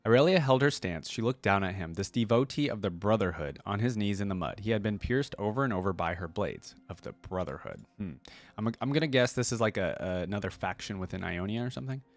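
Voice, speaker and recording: A man's voice: lowish voice, lively intonation, fast talker, no echo. A man hosting a reaction video, reading lore aloud and commenting in an informal, exclamatory way. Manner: informal, filler-heavy, exclamatory asides.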